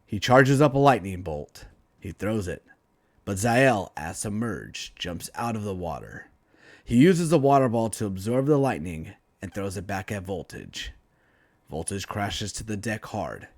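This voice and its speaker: A man reading a superhero story aloud in a dramatic, emphatic storytelling style with deliberate phrasing. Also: lowish voice, sing-song, no room sound. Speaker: author reading own story, writing teacher.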